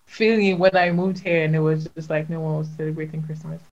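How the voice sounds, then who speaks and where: A woman from Nigeria telling an excited, emphatic story in a casual chat. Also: deep voice, brisk, lo-fi sound.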